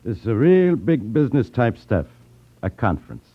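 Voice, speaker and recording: A man's voice: mid-range voice, big pitch swings, normal speed, slightly rough. An actor playing a blunt, menacing gangster henchman with curt tough-guy talk in a 1950s radio crime drama. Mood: bossy, menacing. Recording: tinny, narrow 1950s radio recording.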